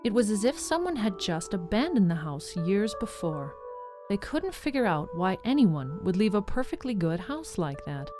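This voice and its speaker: A woman's voice: mid-range voice, big pitch swings, normal speed. A woman narrator reading scripted storybook narration for an audio drama. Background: music behind the voice.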